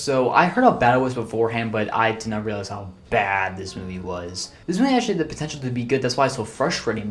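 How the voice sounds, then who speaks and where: A young man giving a blunt, sarcastic movie ranking commentary, often exasperated. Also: medium pitch. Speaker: movie reviewer.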